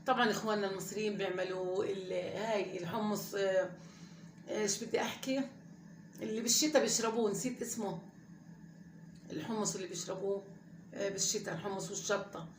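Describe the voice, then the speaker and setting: Fast-talking woman cooking at home. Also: medium pitch, steady tone, roomy sound, slight background noise. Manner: chatty and rambling, talks straight to viewers. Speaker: home cooking channel host. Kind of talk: casual cooking vlog chat. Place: home kitchen at the stove.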